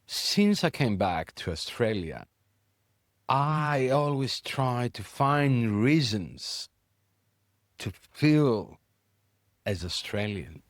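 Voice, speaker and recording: Animated man with a Greek accent. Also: drawn-out words, pauses often, no room sound. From Greece. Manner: animated, rises high when worked up. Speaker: podcast co-host. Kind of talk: casual chat.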